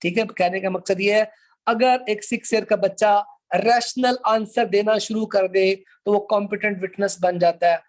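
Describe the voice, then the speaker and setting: A man's voice: sits high, steady tone, moderate pace. A man with a Pakistani accent lecturing informally to a class, explaining step by step and repeating his points. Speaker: law lecturer.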